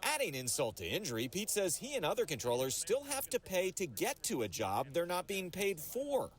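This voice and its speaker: A man's voice: high voice, wildly swooping pitch, moderate pace. An American man reporting for TV news as a field reporter, narrating the story over background ambience.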